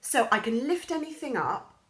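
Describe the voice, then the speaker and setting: A woman reading a children's story aloud in an expressive storybook style, acting out the characters' lines. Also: normal speed. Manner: lively, acts out characters' lines with raised pitch.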